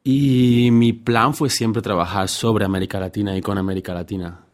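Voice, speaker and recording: A man from Seville in his thirties, a guest researcher answering interview questions thoughtfully, pausing and restarting as he thinks. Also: on the low side, fairly even intonation. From Spain, Seville. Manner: hesitant, many fillers and restarts while thinking aloud.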